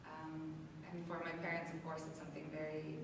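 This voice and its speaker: A woman's voice: sits low, monotone, brisk. A woman from North Macedonia speaking thoughtfully in an interview, with many fillers and restarts. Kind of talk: reflective interview. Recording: echoey hall, distant mic, noisy.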